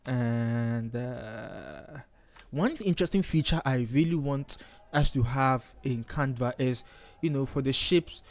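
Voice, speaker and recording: A man's voice: mid-range voice, slow. A man from Ghana with a Ghanaian accent, hosting a casual live design stream and chatting as he works, often checking in with "okay". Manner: chatty, thinks aloud, frequent okays. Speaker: livestream host, designer.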